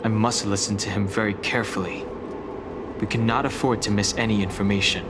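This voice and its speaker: A man's voice: on the low side, steady tone, fairly quick, very noisy, lightly acted. A man voicing a stoic young warrior in a fantasy game, grave, restrained and formal. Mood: grave, focused. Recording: loud game music over the voice.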